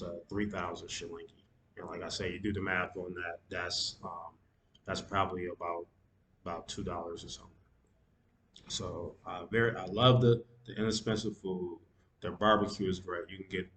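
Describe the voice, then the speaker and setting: Deep, flat-voiced man chatting slowly. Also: a little hiss or hum. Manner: unhurried, many fillers and restarts; drops Swahili words into English. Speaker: vlogger. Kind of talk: casual spoken vlog. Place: Tanzanian city.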